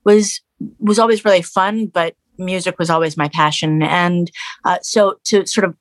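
Woman, middle-aged, from Canada, animated, halting restarts, dry close recording. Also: on the low side, dry recording. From Canada, raised in Los Angeles. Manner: animated, many restarts and fillers, quotes others in raised voice. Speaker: guest musician. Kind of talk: conversational interview.

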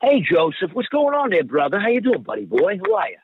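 A man's voice: high-pitched, wildly swooping pitch, fairly quick, breathy edge, no room sound, pitched above the performer's own voice, exaggerated voice. A man doing a rambling, chummy old grandfather character with a thick Boston accent, heard over a phone line.

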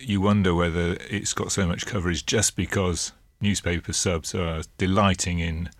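An English man with a very low voice discusses the week's politics as a podcast co-host. He talks in a measured, hesitant way, full of fillers and restarts. The sound is close and dry, with no room behind it. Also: fairly even intonation, dry recording.

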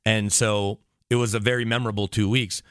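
A man's voice: lowish voice, flat delivery, brisk, fairly bright tone, smooth, no echo, studio quality. An American man, a sports radio broadcaster guesting on a podcast, chatting casually and emphatically with lists and asides.

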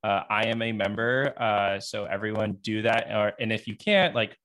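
A man's voice: lowish voice, normal speed, fairly bright tone, clean tone. A man hosting a podcast interview in an informal, chatty way with hesitant phrasing. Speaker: podcast host interviewing a guest. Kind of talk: casual podcast interview chat.

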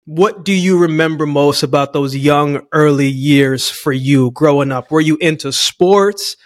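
A man's voice: a little high, unhurried, no room sound, clean studio sound. A man from Jamaica hosting a podcast interview, earnest and upbeat, often saying "man". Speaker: podcast host.

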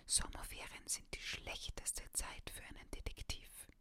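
A woman reading a first-person children's detective story aloud in a soft whisper, ASMR style. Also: a little slow. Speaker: reader.

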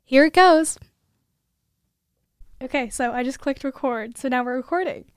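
A young woman hosts a podcast in an earnest, gushing conversational way, her voice sitting fairly high. She is recorded close on a dry mic with no room sound. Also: moderate pace, smooth, dry recording. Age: 20s. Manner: earnest and effusive, many fillers. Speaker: podcast host and student. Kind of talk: casual podcast chat.

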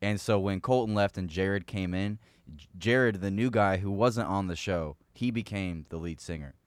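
Low-voiced man chatting about his band. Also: normal speed, no echo. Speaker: band member. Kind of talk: casual chat.

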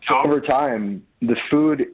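A man's voice: mid-range voice, a little slow, breathy edge. A man raised near Detroit, a food business owner, talking earnestly as a radio interview guest with many restarts. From Mexico City, raised in Dearborn, Michigan. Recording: tinny phone line on radio.

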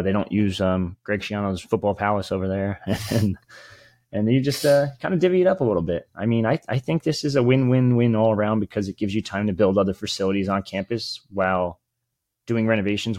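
A man's voice: lowish voice, a little fast, a little mellow, no room sound, studio quality. A man co-hosting a sports podcast, talking in a rambling, informal and opinionated way. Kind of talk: casual sports chat.

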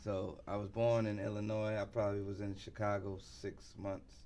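A middle-aged man speaking as a guest in a sports interview, often pausing mid-thought. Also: lowish voice, level tone, warm tone. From New York City, United States. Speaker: interview guest, basketball team insider and former coach.

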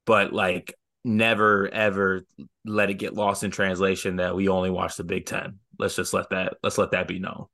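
Easygoing low-voiced podcast co-host man. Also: little pitch movement, moderate pace, a bit bright. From Michigan. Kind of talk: casual sports podcast banter.

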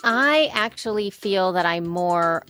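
A woman from El Salvador, a podcaster, talking in a casual conversation, animated and full of quoted asides. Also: medium pitch, slow, faint music behind.

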